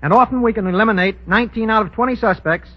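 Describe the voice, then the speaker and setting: High-pitched, husky male expert. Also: fast talker. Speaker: guest crime detection expert. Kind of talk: scripted radio interview, explanatory. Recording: dull, lo-fi 1930s radio recording.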